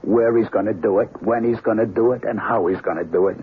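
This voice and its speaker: An actor playing a frightened, agitated patient in an old radio drama, speaking in an insistent, colloquial, emphatic way. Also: male voice, on the low side, quick pace, lightly acted. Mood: fearful. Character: frightened, agitated male patient haunted by nightmares. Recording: tinny, hissy old radio broadcast.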